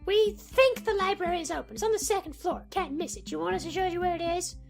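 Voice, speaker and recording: A man voicing a bubbly, eager teenage schoolgirl in a squeaky, sing-song falsetto for a game playthrough. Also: fast, creaky, music in the background. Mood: chirpy. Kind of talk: let's play voice acting.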